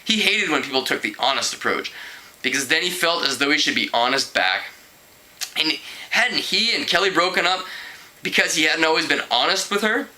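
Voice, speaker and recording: A man narrates a storybook aloud in an expressive amateur style. His voice is fairly high and very bright, with a forceful edge and a mock-dramatic delivery. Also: moderate pace, low-quality recording. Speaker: amateur book reader.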